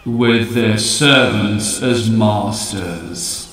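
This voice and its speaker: Man playing a slow, echoing ancient planet. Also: fairly low pitch, fairly bright tone. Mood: probing, solemn. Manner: slow, deliberate, solemn. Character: ancient old man planet, grave, knowing, impatient seer. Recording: heavy echo, distant voice, faint music.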